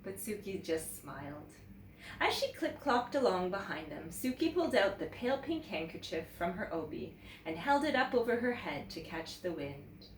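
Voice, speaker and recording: A woman teacher reading a children's story aloud in an expressive, animated way, pausing to check in with listeners. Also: lowish voice. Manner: expressive, pauses to ask listeners questions. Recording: roomy echo, distant mic, faint noise.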